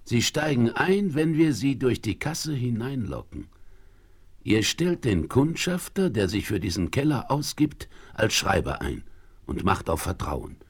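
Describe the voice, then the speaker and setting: An actor playing a shrewd, calm frontiersman in charge, deliberate and commanding, in a German audio drama. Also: medium pitch, slightly rough, full character voice. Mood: decisive. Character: shrewd, calm frontiersman leader, cautious and decisive.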